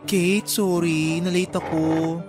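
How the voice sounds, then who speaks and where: A man's voice: a little high, flat delivery, slow, over music. A man playing a chatty, teasing young male friend in a Filipino radio drama, with a Filipino accent and colloquial, exclaiming delivery. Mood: apologetic, friendly.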